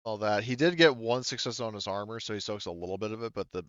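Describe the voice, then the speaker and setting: A man with a low, smooth voice runs a tabletop game. He talks casually and haltingly, thinking aloud through the rules. The sound is dry and a little dull. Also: a bit bright, dry recording. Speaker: game master. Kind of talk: running a tabletop sci-fi roleplaying game.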